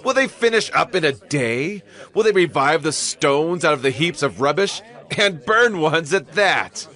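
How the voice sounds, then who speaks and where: A man's voice: a little high, animated, measured pace, bright, forceful tone. A man playing an angry, scornful ruler mocking his enemies in a dramatized audio Bible. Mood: scornful mockery. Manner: mocking, rhetorical taunting. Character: angry, scornful male regional ruler jeering at builders.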